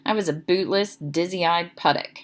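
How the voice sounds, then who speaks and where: A woman's voice: lowish voice, normal speed. A woman reading a children's chapter book aloud as narrator, expressively.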